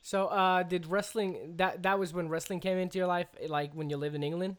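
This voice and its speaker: A man hosting a podcast, asking questions in a halting way and stumbling over his words. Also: high voice, steady tone, crowd noise. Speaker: podcast host. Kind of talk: casual interview chat.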